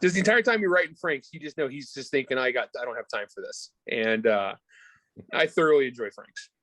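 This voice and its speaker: A man's voice: medium pitch, lively intonation, fairly quick, a bit bright, no echo. Man author in an interview, informal and into it, slangy, really dry sound. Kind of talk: casual conversational interview.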